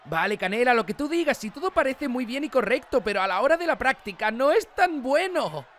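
Casting: a woman as weary young male cartoon mayor, Spain accent, incredulous, fairly high voice. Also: lively intonation, fairly quick, fairly bright tone, faint music behind. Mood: weary, reasoning. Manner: incredulous, exasperated, colloquial. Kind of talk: animated parody fandub acting.

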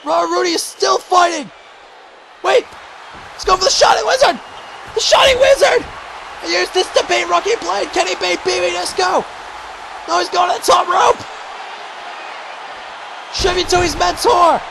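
High-pitched excited wrestling commentator, hoarse. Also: male voice, moderate pace, long pauses, a crowd in the background, noisy background. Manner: shouting, high-pitched and strained, losing his voice. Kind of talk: excited play-by-play commentary of a video game wrestling match.

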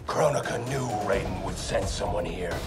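An actor playing a taunting, menacing undead villain with a gravelly voice in an action video game. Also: male voice, deep voice, animated, normal speed. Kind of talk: cinematic action game voice acting. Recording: very loud music under the dialogue.

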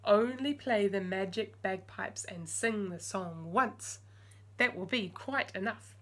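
A woman's voice: medium pitch, slow, fairly bright tone. A woman voicing a stern, eccentric magical woman doctor in a storybook, giving instructions in a sing-song way. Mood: warning.

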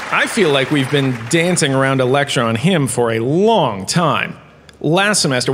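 A man's voice: medium pitch, fairly bright tone, slight background noise. A man delivering a theatrical comedic lecture as host, self-satisfied and wry, with dramatic phrasing and chatty asides. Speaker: host playing a university lecturer. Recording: echoing hall with long reverb.

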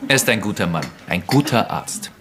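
An actor playing a gentle, devoted friend of an old lady in a crime radio play, considerate and soft-spoken. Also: fairly low pitch, animated, moderate pace, creaky, noisy background. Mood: caring.

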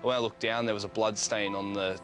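A man's voice: on the low side, flat delivery, a little fast. A young man, speaking hesitantly in a news interview, with many fillers and restarts. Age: late teens. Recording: news interview with music underneath.

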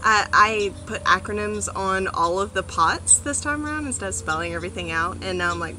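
A woman in her thirties giving a casual gardening vlog outdoors on a front porch, chatty, with many ums and animated asides. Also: medium pitch, brisk, noisy background. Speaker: gardening vlogger. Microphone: phone or camera on a small tripod.

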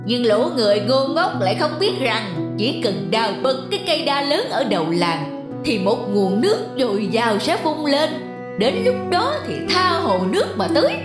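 Music plays behind a boastful forest demon in a children's cartoon. The demon gossips scornfully in a raised, swooping voice. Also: female voice, on the high side, big pitch swings, moderate pace. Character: boastful male forest demons trading secrets. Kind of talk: children's folk-tale cartoon dubbing. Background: background music.

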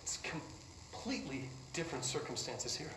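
A man playing a nervous, excitable research scientist in a game, rambling and halting as he justifies himself. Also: on the high side. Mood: excited. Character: nervous, excitable male research scientist defending his prized subject. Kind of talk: video game drama acting. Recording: distant, echoey, noisy recording.

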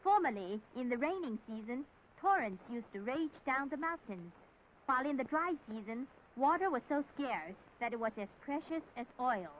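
High-pitched woman narrator, tinny old film soundtrack. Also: expressive, moderate pace. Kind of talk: scripted narration of a 1970s propaganda documentary. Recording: tinny, band-limited, noisy 1970s film soundtrack.

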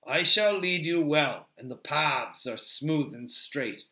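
Man as a stern, bright-voiced elf sentinel. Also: a little high, lively intonation, full character voice. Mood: grave. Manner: formal, measured, commanding. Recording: roomy, echoing sound.

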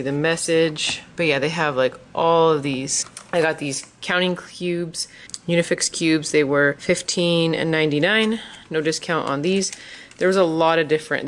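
A woman chatting casually and enthusiastically in an informal shopping haul vlog. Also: low-pitched. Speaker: vlogger, parent.

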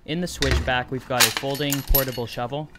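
A man's voice: medium pitch, fairly even intonation, a little fast, heavy background noise. A young man talking casually and cheerfully to the camera while camping outdoors in snowy mountains. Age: young adult. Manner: chatty and upbeat, talks to the camera. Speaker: vlogger. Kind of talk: casual vlog narration.

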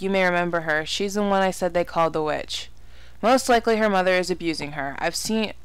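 A woman's voice: on the low side, clear, resonant tone, no echo. A woman giving casual horror game commentary, exclaiming, swearing and muttering asides to herself. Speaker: let's play gamer.

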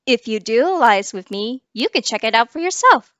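A woman's voice: on the high side, very animated, moderate pace, fairly bright tone, no echo, pitched below the performer's own voice. A woman voicing a playful, coaxing dream jester spirit in a fan audition.

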